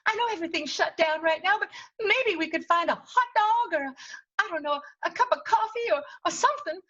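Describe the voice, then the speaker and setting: A woman's voice: very high voice, lively intonation, fairly quick, sharp tone, exaggerated voice. A woman playing a ditzy, affectionate, lovelorn young showgirl in an improvised period radio soap, breezy and guileless, on dull audio.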